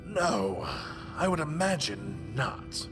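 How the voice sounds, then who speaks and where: An actor playing a pompous, menacing authority figure with a theatrical, deliberate delivery in a video game. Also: mid-range voice, big pitch swings. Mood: coolly menacing. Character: pompous, menacing authority ruling over young guardians. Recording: faint music behind.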